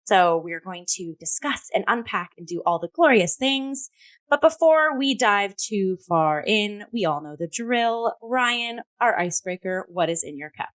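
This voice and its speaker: A woman's voice: medium pitch, expressive, a little slow, fairly bright tone. A woman hosting a conversational arts review show in a chatty, upbeat and effusive way.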